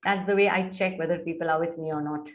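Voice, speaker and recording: A middle-aged woman with an Indian English accent gives an online soft skills lecture to students. She coaxes them and keeps checking whether they are following. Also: lowish voice, steady tone, fast talker. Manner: coaxing, mixes Hindi into English, checks on students often. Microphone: video call.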